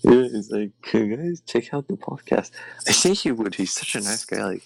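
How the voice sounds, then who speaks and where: Gravelly young man joking on a call. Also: fairly low pitch, animated, moderate pace, rough recording. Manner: rambling, laughing, swearing. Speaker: podcast host. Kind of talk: crude comedy banter. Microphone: remote call over shaky wi-fi.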